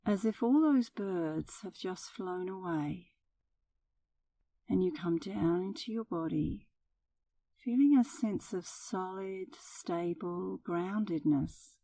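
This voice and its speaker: Female meditation guide: lowish, warm and relaxed voice, unhurried and soothing, gentle instructional narration. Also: recorded from a distance, poor audio.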